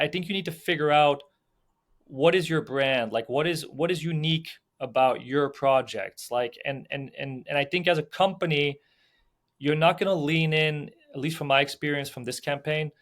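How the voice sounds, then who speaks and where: A young man from Belgium talks through his ideas as an interview guest. His delivery is loose and conversational, packed with "um", "like" and repeated restarts, at a middle pitch. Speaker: film producer and sales agent, guest. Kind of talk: casual podcast interview.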